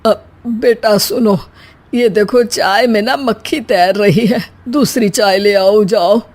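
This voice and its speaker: Woman voicing a cheeky, slow-talking father-in-law. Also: medium pitch, steady tone, a little mellow. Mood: calling, coaxing. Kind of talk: acted Hindi comic story dialogue.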